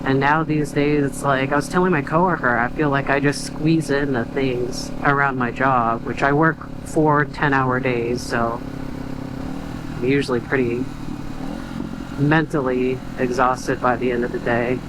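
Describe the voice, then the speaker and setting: A man's voice: medium pitch, steady tone, unhurried. A young man vlogging from his car, talking in a hesitant, rambling, reflective way full of fillers and restarts. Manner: quiet, reflective. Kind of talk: casual venting while driving. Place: in a car on the road. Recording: road and engine noise behind.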